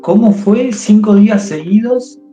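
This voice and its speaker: A man's voice: sits high, measured pace, dark tone, roomy sound, faint music behind. A man hosting a casual interview, asking short prompting questions.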